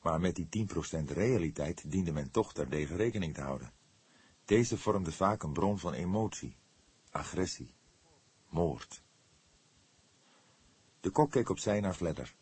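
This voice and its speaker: A man's voice: low voice, expressive, measured pace, pauses often, darkish tone, husky. A man reading scripted literary narration as an audiobook narrator.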